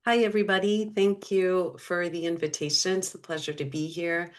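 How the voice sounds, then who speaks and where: A woman from the United States talking as a guest about her life, relaxed and chatty, with many fillers. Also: on the low side, normal speed. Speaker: guest language teacher. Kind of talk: casual conversation.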